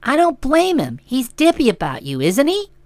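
A woman's voice: sits high, wildly swooping pitch, normal speed, darkish tone, dry recording, exaggerated voice. A woman voicing a solid, morose young woman who talks in a brusque, dismissive way. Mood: blunt.

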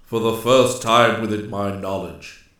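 A man's voice: on the low side, steady tone, unhurried, a bit bright, heavy reverb, recorded from a distance. Man acting a stern old forest lord, solemn and commanding. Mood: grave, gentle. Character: stern, grave old male forest immortal, ruler of nymphs.

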